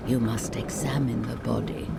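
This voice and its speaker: Grave, commanding nobleman, as a storyteller reads him, rain behind. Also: steady tone. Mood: quiet, suspicious. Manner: formal, measured, authoritative. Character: Lord Grath, grave commanding adult nobleman, the dead Emperor's brother. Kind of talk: bedtime fairy tale character reading. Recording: clear background rain and rumble noise.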